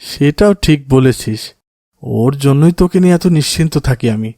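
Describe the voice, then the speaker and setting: A man's voice: mid-range voice, expressive, normal speed, vocal fry, dark tone, no room sound, studio quality. A man playing an emotional, devout village father in a melodramatic cartoon, speaking in a pleading, emotive way. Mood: gentle, resolute. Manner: pleading, emotive, often invoking God. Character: anguished, devout village father of a motherless daughter.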